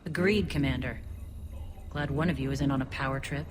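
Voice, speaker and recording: A woman's voice: a bass voice, fairly quick, noisy background. An actress playing a principled, wary female militia leader in a video game, firm, dry and challenging.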